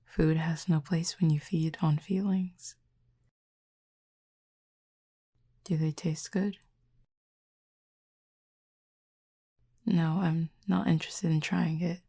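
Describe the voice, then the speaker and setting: A woman voicing a calm, curious cupid in an intimate audio roleplay, speaking softly and half-whispered with formal, measured, gently dry phrasing. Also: low voice, full character voice. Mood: curious, composed. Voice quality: breathy, soft, half-whispered. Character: calm, curious otherworldly cupid who feeds on feelings.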